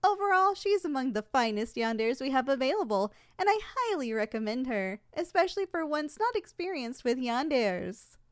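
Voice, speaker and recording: A woman's voice: sits high, big pitch swings, normal speed, hardly any pauses, no room sound, clean studio sound. A woman playing a polite, unflappable shop tour saleswoman in a scripted ASMR roleplay, formal and matter-of-fact. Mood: polite, businesslike. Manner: formal, courteous, matter-of-fact about danger. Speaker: voice actor in audio roleplay.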